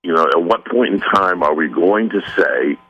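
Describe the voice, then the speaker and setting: A man guest with a sore throat, speaking earnestly in a phone-in interview, halting with many restarts. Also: deep voice, lively intonation, muffled recording. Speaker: author guest.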